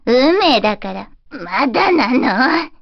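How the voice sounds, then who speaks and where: A woman voicing an eerie, obsessive teenage girl fortune-teller in an anime video game, deliberate and ominous, at times whispering. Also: a little high, sing-song, lo-fi sound, exaggerated voice. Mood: haughty.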